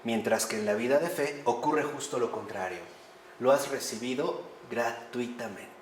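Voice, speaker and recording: A man teaching a lively lecture on faith, often posing rhetorical questions to his listeners. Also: mid-range voice, normal speed, a little hiss or hum. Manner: animated, rhetorical questions to the audience. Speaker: priest teaching a class. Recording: echoey room with faint ambience.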